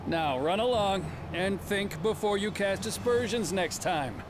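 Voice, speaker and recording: An actor voicing a smug, condescending deputy chief of frontier lawmen in a video game, with an American accent and a pompous, mocking manner. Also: male voice, high voice, unhurried, background noise. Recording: music behind the voice.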